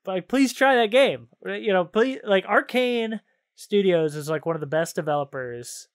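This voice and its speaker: A man with a slightly high voice chats casually as a gaming podcast host. He sounds enthusiastic and uses loose, informal slang. The sound is close and dry. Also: expressive, moderate pace, no room sound. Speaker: video game podcast host. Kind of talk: casual chat about video games.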